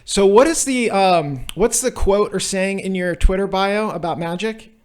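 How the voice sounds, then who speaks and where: A man co-hosting a podcast in casual chat, with halting, meandering phrasing. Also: high-pitched, clear, resonant tone. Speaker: podcast co-host.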